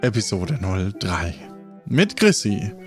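A man's voice: fairly low pitch, big pitch swings, takes it slowly. A man narrating an audio role-playing game as its host and game master. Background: music.

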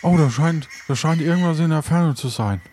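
A man with a fairly high voice plays along in an improvised audio adventure. He stammers and hesitates as he goes, over clearly audible ambient noise. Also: a little fast, darkish tone.

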